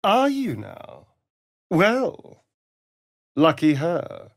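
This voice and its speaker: An actor playing a sardonic, flirtatious vampire rogue in a fantasy video game, with an arch, theatrical, drawling delivery and sly asides. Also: male voice, fairly high pitch, huge pitch swings, very slow, creaky, no echo, clean studio sound, full character voice. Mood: teasing, seductive. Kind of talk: video game character voice acting.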